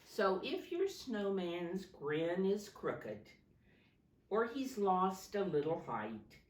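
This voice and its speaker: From a distance in a roomy, echoing space, a woman reads a storybook to small children. Her voice is low but very animated in its rise and fall. She speaks in a sing-song, child-directed way, pointing things out and asking simple questions. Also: slow pace. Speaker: preschool teacher reading a storybook to children. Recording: roomy echo, distant microphone.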